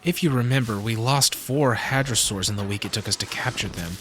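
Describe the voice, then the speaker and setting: A man's voice: medium pitch, fairly quick, fairly bright tone, lightly acted. A man playing a grave, worried scientist in an audio drama, speaking in a serious, matter-of-fact way. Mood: grave concern. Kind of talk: fan audio drama acting. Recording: clear background noise.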